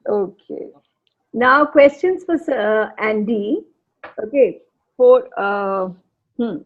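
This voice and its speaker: Woman host, unhurried, husky voice, short prompts, slightly dull sound. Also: on the high side. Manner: brief prompts and hums, asking rapid-fire questions. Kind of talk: casual video interview.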